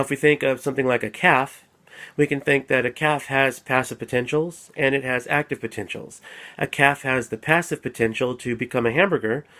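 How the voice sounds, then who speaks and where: A man recovering from a cold, calmly explaining his views in a casual solo video talk, with frequent fillers and restarts. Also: medium pitch. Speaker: apologetics speaker. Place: at home.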